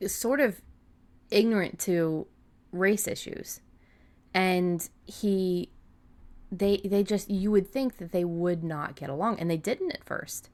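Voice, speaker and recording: A woman's voice: fairly low pitch, a little mellow. A woman co-hosting a podcast, talking casually and informally about writing, often rambling and correcting herself. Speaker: podcast co-host. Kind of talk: casual writing-advice discussion.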